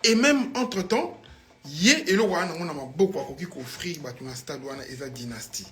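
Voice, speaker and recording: A man's voice: fairly high pitch, expressive, brisk, a bit bright. A Congolese man with a Congolese French accent, a guest musician rambling through memories in a casual studio chat, switching between languages and breaking into bits of song. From Congo (Kinshasa). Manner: rambling, mixes French and Lingala, hums song snippets. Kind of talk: casual studio interview storytelling.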